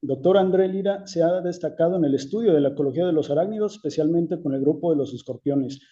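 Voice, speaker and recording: A man, hosting a seminar, reads a formal introduction with the odd filler word. His voice is very dark and mellow though a bit high, and the recording sounds dull and muffled. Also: fast talker. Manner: formal, reading a bio with fillers. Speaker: seminar host.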